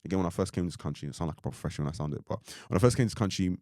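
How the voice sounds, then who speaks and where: A man's voice: deep voice, expressive, very fast, dark tone, no room sound, studio quality. A young man from Ireland co-hosting a podcast, talking casually in a rambling way with lots of "like" and "you know what I mean". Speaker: podcast co-host.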